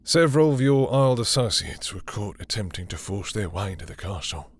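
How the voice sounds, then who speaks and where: A man playing a possessive, dominant vampire lord in intimate roleplay audio, with a husky, breathy voice and a teasing, seductive, condescending manner. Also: lowish voice, animated, fairly quick, no echo. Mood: cold, smug. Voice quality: husky, breathy, dropping into whispers.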